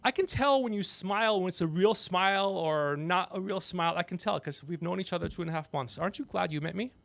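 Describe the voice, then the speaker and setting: A man's voice: sits high, quick pace, creaky, a bit bright, no echo. A man hosting a livestream in a rambling, casual, joking way, chatting to someone off mic as he goes. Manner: rambling, joking, self-promoting, talks to off-mic crew member. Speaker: podcast host and comedian. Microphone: podcast microphone with headphones.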